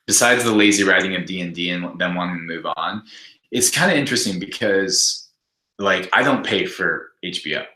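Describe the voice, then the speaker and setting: Young male podcast guest, sharp bright edge to the voice, loose halting conversational opinions, audible room echo. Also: low voice. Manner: halting, rambling, peppered with "like". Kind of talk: casual chat, opinionated commentary. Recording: echoey, roomy sound.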